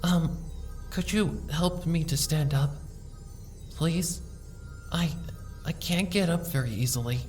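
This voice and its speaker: A woman playing a timid sick pony, soft and stammering, slow, distant mic. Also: sits low, soft background music. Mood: timid, shaky. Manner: soft, hesitant, stammering, pleading. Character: timid sick young female pony, frightened. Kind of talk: fan audiobook reading.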